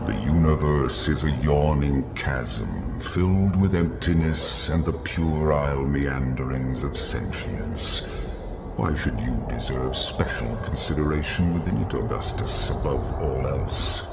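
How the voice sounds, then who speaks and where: Game villain: colossal ancient deity, abyssal bass, slow, pompous, contemptuous, heavy rumbling noise. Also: male voice, animated, vocal fry, full character voice. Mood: cold contempt. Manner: pompous, slow archaic declamation. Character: vast male ancient god, contemptuous, grandiose, menacing. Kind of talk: scripted video game villain acting.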